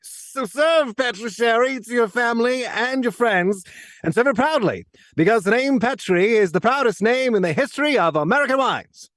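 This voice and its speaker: A man's voice: very high voice, lively intonation, normal speed, fairly bright tone, no room sound. A man playing an earnest 1940s radio announcer with polished, emphatic salesmanship. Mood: salesmanlike. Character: smooth-selling, earnest 1940s radio announcer pitching wine. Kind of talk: 1940s radio acting, sponsor read.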